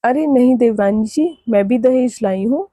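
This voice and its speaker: A woman's voice: on the high side, moderate pace, dark tone, husky, dry recording. A woman voicing a meek, polite young village daughter-in-law in a cartoon moral story. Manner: polite, deferential.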